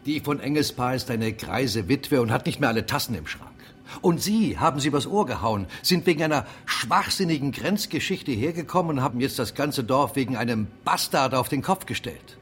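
An actor playing an angry, bullying middle-aged mayor in a German radio drama, scornful and commanding. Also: male voice, medium pitch, expressive, a little fast, a bit bright, natural voice.

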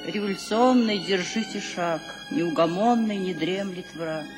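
A man's voice: sits high, unhurried. An actor playing a nostalgic man reminiscing in a radio drama. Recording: loud music behind radio-play voice.

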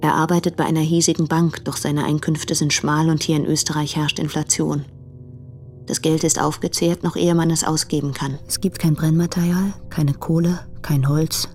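A woman playing an earnest, troubled married letter writer in a radio play, reading in a formal, measured manner. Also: low voice, a little mellow, natural voice.

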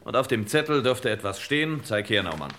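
An actor playing a shrewd, dry detective boss with clipped, swaggering deadpan delivery in an old crime radio play. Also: male voice, fairly low pitch, a little fast. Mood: dry, knowing. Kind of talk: old German radio crime parody acting. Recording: noisy vintage radio play recording.

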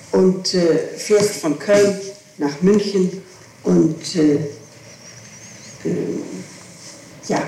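A woman's voice: fairly low pitch, warm tone. A woman being questioned, recounting events in insistent detail and often correcting herself as she goes. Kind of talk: recounting events under interrogation. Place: echoing room, archival tape recording. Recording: very echoey, noisy old interrogation tape.

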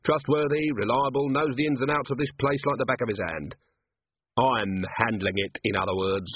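A man playing a gruff, sardonic older police commander in a storybook reading, terse and dry. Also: medium pitch, steady tone, normal speed, no room sound, narrow, tinny audio, close to an everyday voice.

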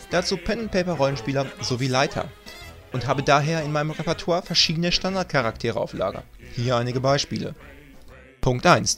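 A man giving a mock-formal, deadpan presentation as a voice actor and game master. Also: medium pitch.

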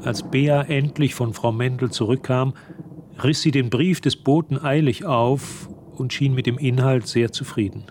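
An actor as the composed narrator of a radio play, reading literary prose. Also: male voice, mid-range voice, unhurried, background noise, lightly acted.

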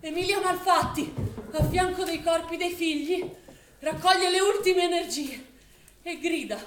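An actress playing a grave narrator and witness of a wartime massacre, in a dramatic stage style. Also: female voice, very high voice, unhurried. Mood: grave, urgent. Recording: roomy stage sound, faint noise.

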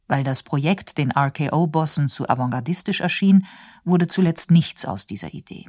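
A woman's voice: low voice, a little mellow, no room sound, studio quality. A woman reading scripted narration for a radio documentary.

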